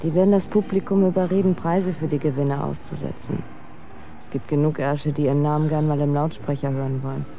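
Low-key dull-sounding jaded, blunt woman. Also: steady tone, a little fast, mellow. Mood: jaded, knowing. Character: cynical, foul-mouthed, worldly-wise woman dance-marathon contestant. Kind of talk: radio drama acting.

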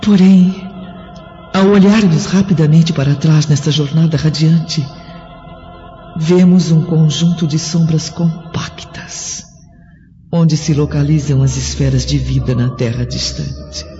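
A woman's voice: deep voice, steady tone, mellow. An actress with a Brazilian accent playing a devout female spirit full of awe at heaven, in a solemn radio drama. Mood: serene wonder. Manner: slow, rapt, declamatory. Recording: dull, reverberant radio drama over music.

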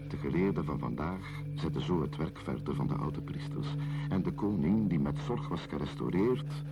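A man acting as a solemn, contemplative storyteller in a radio play, speaking in a measured, deliberate way. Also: very deep voice, expressive, over loud music. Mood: solemn narrating. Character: solemn, contemplative male storyteller of ancient kings and mysteries. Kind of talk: scripted radio play narration, solemn and literary.

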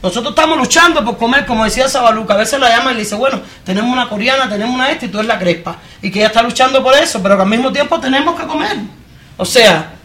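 A woman's voice: fairly high pitch, rapid-fire, fairly bright tone. A woman from Cuba with a Cuban accent, speaking with force at a live public discussion, addressing the audience directly with rhetorical questions. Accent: Cuban Spanish. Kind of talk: impassioned live panel talk.

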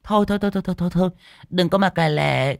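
A man voicing a sharp-tongued, scornful market woman who haggles and exclaims over and over in an audiobook story. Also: sits high, racing, gravelly, dry recording, studio quality, voice pushed up. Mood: scornful haggling.

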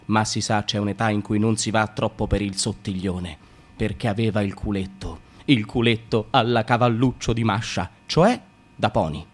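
An actor playing a young man who tells a comic tale of his awkward youth, shifting between hushed asides and frantic bursts. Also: fairly low pitch, moderate pace, soft background music, close to an everyday voice. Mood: wry. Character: young man recalling a clumsy adolescence. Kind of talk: radio play narration.

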